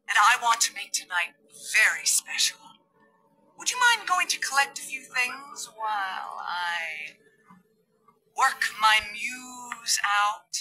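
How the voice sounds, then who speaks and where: A woman voicing a dreamy, lovestruck female art teacher in a video game cutscene, with a breathy, flighty way of talking. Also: sits high, bright, forceful tone, exaggerated voice, low-quality recording.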